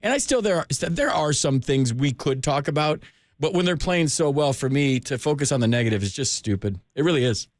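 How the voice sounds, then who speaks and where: A man's voice: on the high side, expressive, brisk, no echo. An American man hosting a sports call-in radio show, chatting casually in an informal, joking, slangy way on a close studio mic. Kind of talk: casual chat with callers. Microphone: close studio microphone.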